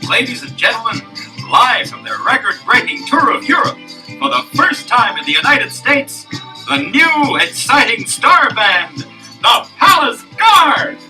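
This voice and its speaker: A man's voice: very high-pitched, very animated, unhurried, pushed and bright, soft background music, heavily acted, rough recording. An actor playing a showy disco announcer in a 1970s record drama, stretching out his words as he builds up the introduction. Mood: hyped.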